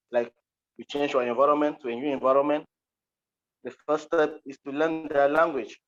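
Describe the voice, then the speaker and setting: Muffled Cameroonian man, hesitant and plain-spoken. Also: mid-range voice. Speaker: interview guest, interpreter. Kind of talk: recounting personal experience in an online panel. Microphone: video call. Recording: muffled, dull online call audio.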